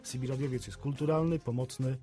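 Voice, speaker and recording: A man with a soft, mellow voice is a guest expert on a radio interview. He explains in a mid-pitched voice and often doubles back on his words. Faint music plays behind him. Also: steady tone, fairly quick. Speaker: CB radio specialist, studio guest. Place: radio studio.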